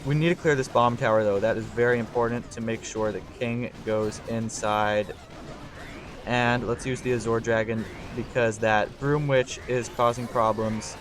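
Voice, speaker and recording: Young male gameplay commentator, slightly hoarse, mid-pitched voice, thinks out loud, faint noise behind. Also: moderate pace. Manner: talks through his thinking, excitable on big plays. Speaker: gaming channel host.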